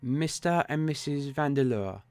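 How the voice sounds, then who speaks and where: A man reading aloud, voicing a calm, precise Victorian detective in a measured, deliberate way. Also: medium pitch, dry recording, pitched above the performer's own voice, close to an everyday voice.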